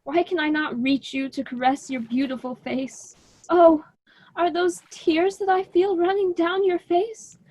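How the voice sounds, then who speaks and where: A woman's voice: very high voice, fairly even intonation, a little slow, a little mellow. A woman playing a vain, melodramatic young man of myth in a radio drama, declaiming each line. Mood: lovesick anguish.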